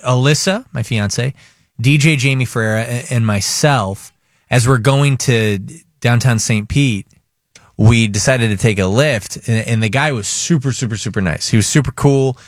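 A man's voice: medium pitch, no room sound. Storytelling male radio host, dry studio. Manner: animated storyteller, quick asides. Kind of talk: casual morning radio banter.

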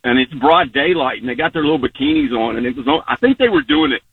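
A man calls into a radio show and tells stories in a chatty, amused way. His voice is husky and gravelly, with lively pitch. It comes through a thin, phone-like line from a distance. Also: fast talker, dry recording. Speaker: caller, rideshare driver. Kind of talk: phone-in call.

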